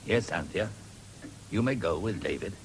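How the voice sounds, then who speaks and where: A man with a husky voice playing an earnest middle-aged father in a radio drama. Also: low-pitched, lively intonation, moderate pace, a little hiss or hum, low-quality recording. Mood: solemn, resolved.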